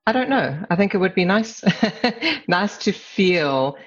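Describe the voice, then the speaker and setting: A middle-aged woman with a South African accent, reflecting candidly on personal matters as an interview guest in a measured, conversational way. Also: medium pitch, big pitch swings, breathy edge. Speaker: podcast guest, lawyer. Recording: slightly dull, lo-fi sound.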